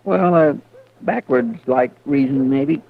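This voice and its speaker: An actor playing a folksy, deferential Texan sidekick with a in a 1950s radio western. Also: male voice, medium pitch, creaky. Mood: sheepish, candid. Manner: folksy, plain-spoken, halting. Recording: tinny vintage radio recording.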